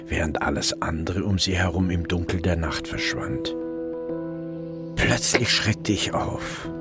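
A very deep male voice plays a brooding detective recounting his case. It is reflective first-person radio drama narration, with music clearly underneath. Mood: pensive.

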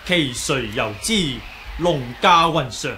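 An actor with a Hong Kong Cantonese accent playing a righteous, composed young martial-arts hero in a 1980s radio drama, speaking deliberately and accusingly. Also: male voice, fairly high pitch, animated. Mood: measured, exposing. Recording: faint hiss on old radio recording.

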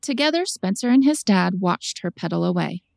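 A woman's voice: mid-range voice, animated, normal speed, gravelly, a little mellow, dry recording, clean studio sound. A woman narrating a story for an audiobook in a scripted style.

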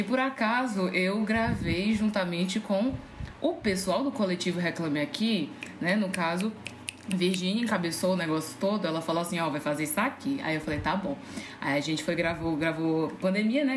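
Brazilian woman chatting in an echoey, noisy room. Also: fairly low pitch. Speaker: podcast guest, musician. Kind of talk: casual chat. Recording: echoey room, clear background noise.

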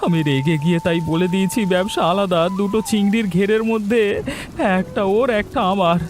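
A man's voice: sits high, steady tone, a little fast, faint music behind, lightly acted. A man playing an upright, worried village shrimp farmer in a Bengali cartoon, earnest and urgent in manner.

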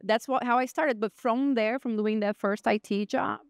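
A woman's voice: fairly high pitch, fairly even intonation, normal speed, clear, resonant tone, dry recording, studio quality. A woman from Brazil in her thirties, a native Portuguese speaker with a Brazilian accent, chatting as a podcast guest with lots of fillers and restarts. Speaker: guest security expert. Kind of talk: casual podcast interview.

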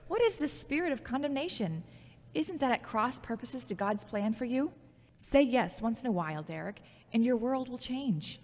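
A woman's voice: a little high, expressive, normal speed, a little mellow. An actress playing a frank, logical, critical yet caring former girlfriend in a scripted audio drama. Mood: encouraging. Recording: long hall-like reverb.

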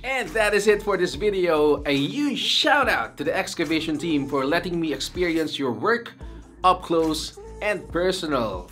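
A man's voice: on the high side, very animated, moderate pace, fairly bright tone. A middle-aged man, signing off to camera as a vlog host. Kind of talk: video sign-off to camera. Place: small indoor room. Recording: music under the voice.

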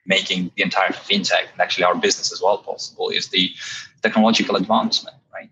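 Low-voiced Estonian man with a bright tone. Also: slight background noise, poor audio. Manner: thoughtful, many "uh" fillers. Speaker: startup founder and CEO. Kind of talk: video call interview. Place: home room indoors. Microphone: earbuds on a video call.

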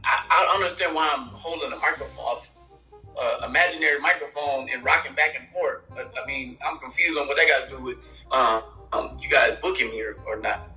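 Very bright, forceful man in his thirties. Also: medium pitch, a little fast, faint music behind, low-quality recording. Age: 30s. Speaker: stand-up comedian being prank called. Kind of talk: casual phone interview. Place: plain room, on a video call. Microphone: phone on a video call.

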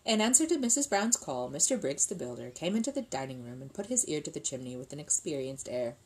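A middle-aged woman reading a children's book aloud as expressive scripted narration, seated indoors at a table. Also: low voice, fast talker, rough recording.

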